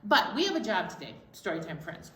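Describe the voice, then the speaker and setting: A woman's voice: mid-range voice, big pitch swings, fairly quick, bright, forceful tone. A woman co-hosting a children's storytime, explaining things simply and speaking directly to young listeners. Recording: echoey room, faint background noise.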